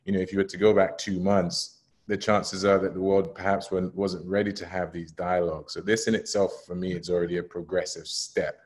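A young man asking an earnest question over a video call from a bright room at home, fluent and full of "you know" and "sort of". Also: low voice, flat delivery, a little fast. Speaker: webinar guest asking a question. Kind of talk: earnest discussion.

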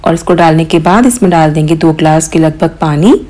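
A woman hosting a cooking channel, calmly explaining each step of a recipe in voice-over, with occasional small hesitations. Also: low voice, a little fast. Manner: calm step-by-step instruction with mild hesitations.

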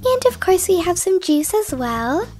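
A female performer voicing a cheerful, kind woman schoolteacher in a children's animated roleplay, speaking in an upbeat, instructive way. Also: extremely high pitch, a little slow, heavily acted. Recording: music behind the voice.

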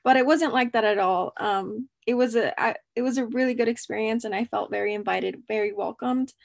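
Brief: native Spanish-speaking woman, slightly high, gravelly voice, dry sound, casual video call talk. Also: brisk, dry recording. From United States. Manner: casual, friendly, "um" fillers. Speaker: student panelist. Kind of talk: video call panel answer.

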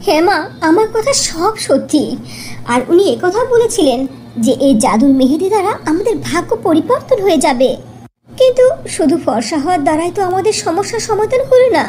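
A woman's voice: very high voice, very animated, a little fast, dark tone. A woman voicing a poor, innocent young village girl in an earnest cartoon style. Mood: earnest pleading. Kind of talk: Bengali fairy-tale cartoon acting. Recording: noticeable background noise.

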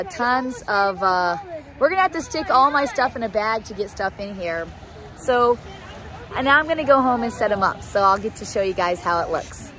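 A woman's voice: mid-range voice, a little hiss or hum. A woman in her fifties chatting to her phone camera in a home kitchen, gushing over decorations in a casual vlog. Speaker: vlogger. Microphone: phone held at arm's length.

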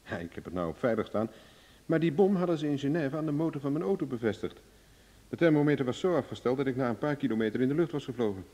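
A male actor plays a self-assured private investigator in an old radio drama. His voice is mellow and middle-pitched, with animated, wry phrasing. The recording carries a long reverberant tail. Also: fast talker, close to an everyday voice. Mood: confident. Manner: glib, ironic, self-assured. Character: cocky, cool-headed male private investigator, wry and bargaining. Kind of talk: Dutch radio drama acting.

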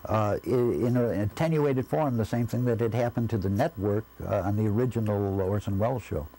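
A man in his sixties with a North American accent, reflecting in an interview in measured, deliberate, formal phrasing. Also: lowish voice, mellow, breathy edge, poor audio. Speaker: interviewee, former radio station staffer. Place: quiet indoor room. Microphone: lapel.

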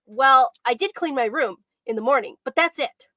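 A woman's voice: high-pitched, normal speed, fairly bright tone, no echo. A woman voicing a small, ragged, earnest boy in a storybook reading, with a plaintive childlike manner.

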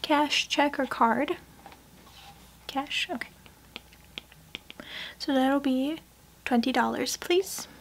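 A young adult woman speaking softly and politely up close, in an ASMR personal-attention roleplay as a portrait painter serving a client. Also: sits high, slow pace, long pauses, slight background noise. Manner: soft-spoken, polite customer service. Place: small indoor craft room.

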